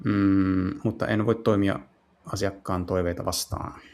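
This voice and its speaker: A man playing through a visual novel, translating it aloud in casual speech and often halting to search for words. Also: deep voice, level tone, darkish tone. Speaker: game streamer. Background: game music.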